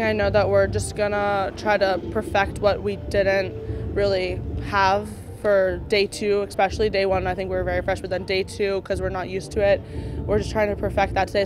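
A young adult American woman, a college athlete, talking casually in an interview. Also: mid-range voice. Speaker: college softball pitcher. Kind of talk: casual post-game interview. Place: large indoor practice facility. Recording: clear background noise in large hall.

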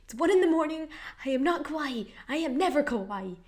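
A young woman putting on a cute, high anime-girl voice, hesitant and giggly, with many fillers. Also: lively intonation, a little fast, darkish tone. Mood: exasperated. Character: cutesy high-pitched anime-style girl voice. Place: quiet room at night.

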